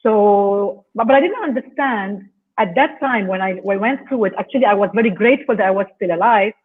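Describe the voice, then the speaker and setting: A woman from Lebanon speaking as a guest on a video call, earnest and measured as she talks through her thoughts. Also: medium pitch, slightly rough. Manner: earnest, measured, careful phrasing. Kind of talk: reflective personal talk on a video call. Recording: tinny phone-like call audio.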